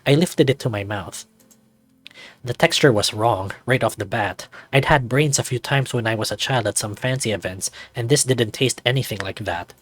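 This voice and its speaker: A man giving measured, formal first-person narration of a scripted story. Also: medium pitch, no echo.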